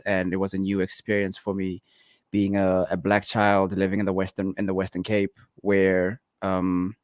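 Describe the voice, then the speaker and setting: A young South African man with a lowish voice talks in a relaxed, casual way, full of fillers and restarts. His voice sounds dry and close, with no room around it. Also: no room sound. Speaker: guest artist. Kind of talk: casual interview talk.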